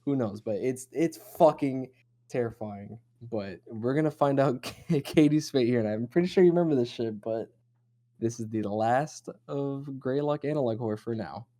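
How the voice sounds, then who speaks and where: A man's voice: mid-range voice, moderate pace, warm tone. A man hosting a horror discussion show, talking in a casual, rambling, speculative and sometimes profane way. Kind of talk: casual chatty analysis of horror lore.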